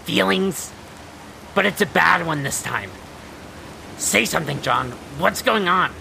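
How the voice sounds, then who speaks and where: An actor in a fan-dub audio drama voicing a sardonic, sharp-tongued alien woman anxious about a friend, with a raspy voice. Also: mid-range voice, lively intonation, a little slow, a little hiss or hum, exaggerated voice, low-quality recording. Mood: impatient, worried.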